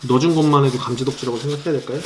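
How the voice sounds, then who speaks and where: A man calmly walking through a sneaker review, explaining details as he handles the box and shoes, softening his opinions as he goes. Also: medium pitch, racing, background noise. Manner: calm, explanatory, hedging opinions; handling items while describing them. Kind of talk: product review vlog.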